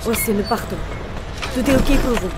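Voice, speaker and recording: A woman's voice: fairly high pitch, moderate pace, loud music behind the voice, natural voice. A woman playing a commanding, no-nonsense military sergeant in an audio drama. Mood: resolute, commanding.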